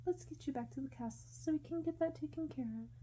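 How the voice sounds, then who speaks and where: A woman voicing a timid, adoring young noblewoman in a storybook, with a gentle, coy manner. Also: high-pitched, fast, slight background noise, voice pushed up, natural voice. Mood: shocked, concerned.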